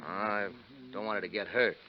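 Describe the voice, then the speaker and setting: An actor playing a tough, guarded nightclub boss in a 1940s radio crime drama, talking in terse, clipped lines. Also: male voice, on the low side, fairly even intonation, normal speed, close to an everyday voice. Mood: guarded, matter-of-fact. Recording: dull 1940s radio recording, music behind.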